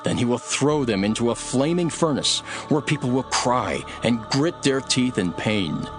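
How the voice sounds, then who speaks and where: A man playing a calm, authoritative teacher telling parables in a measured, solemn dramatized scripture reading. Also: medium pitch, over music, lightly acted. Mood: solemn warning.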